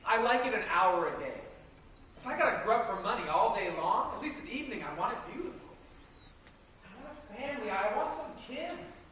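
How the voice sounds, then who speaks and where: A man acting in a stage play as an earnest, frustrated adult son, halting as he pleads with his parents. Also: extremely high pitch, expressive, bright, forceful tone, recorded from a distance, full character voice. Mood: pleading, defiant. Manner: halting, hesitant. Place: theater stage at a college, filmed from the audience. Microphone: far camera. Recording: echoey stage recorded from afar, faint noise.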